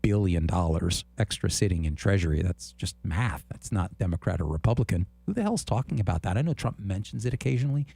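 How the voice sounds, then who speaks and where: Gravelly animated male political talk host. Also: sits low, a little fast, hardly any pauses, dry recording. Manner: animated, indignant, laughs often. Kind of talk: political talk show chat.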